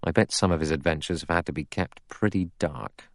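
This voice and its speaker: A man's voice: deep voice, fairly quick, dry recording, studio quality. A man voicing a blunt, suspicious male ex-police inspector in an audiobook, with a grunting, doubtful manner.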